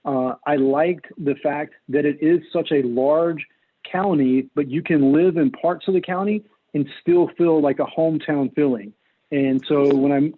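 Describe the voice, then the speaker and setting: A middle-aged American man giving earnest, measured answers as a political candidate in an interview. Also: mid-range voice, phone-quality sound. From North Carolina, United States. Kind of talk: interview answers, campaign talk.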